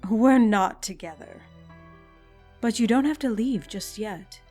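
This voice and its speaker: A woman's voice: fairly high pitch, slow pace, a little mellow, faint music behind. A woman playing a coolly seductive schemer. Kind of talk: audio drama acting.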